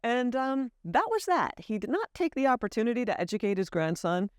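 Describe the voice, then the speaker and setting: A woman's voice: fairly high pitch, brisk, dry recording, studio quality. An American woman telling a personal story to camera in an earnest, candid way. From United States. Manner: earnest, candid, lively emphasis. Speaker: vlogger.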